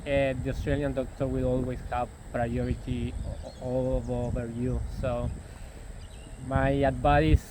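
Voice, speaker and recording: A man's voice: mid-range voice, little pitch movement, measured pace, noisy background. A young man from Argentina whose first language is Spanish, speaking English with an Argentinian accent and answering interview questions hesitantly, often repeating words.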